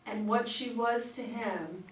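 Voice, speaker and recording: A woman's voice: on the high side, slow. A woman talking casually in a group discussion about a script. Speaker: participant in a play discussion group. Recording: echoey room, distant mic, background noise.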